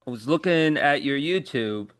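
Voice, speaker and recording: A man's voice: medium pitch, lively intonation, moderate pace, fairly bright tone, dry recording. A man hosting an interview, asking questions in a casual, conversational style. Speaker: podcast host interviewer.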